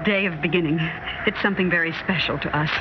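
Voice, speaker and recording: An American actress playing an astronaut's worried, earnest wife in a vintage radio drama. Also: female voice, lowish voice, fast talker, natural voice. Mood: wistful, worried. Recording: noisy, muffled vintage radio recording.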